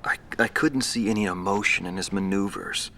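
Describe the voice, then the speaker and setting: A weary ex-pilot recalls the war in a very deep, somber voice. A faint hiss sits under his words. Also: moderate pace. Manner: quiet, somber, reflective. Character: weary older male ex-pilot telling his war memories. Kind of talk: interview-style recollection in a game cutscene.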